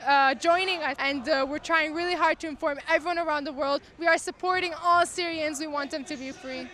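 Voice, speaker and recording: Woman protest organizer, earnest and emphatic, high bright voice. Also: fairly even intonation, brisk. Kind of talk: street interview.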